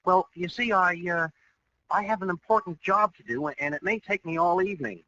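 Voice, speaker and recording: An actor playing an eager, bumbling young boyfriend with an American accent in 1940s radio comedy, hesitant and earnest. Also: male voice, high voice, normal speed, sharp tone. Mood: nervous, hesitant. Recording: dull, hissy old radio recording.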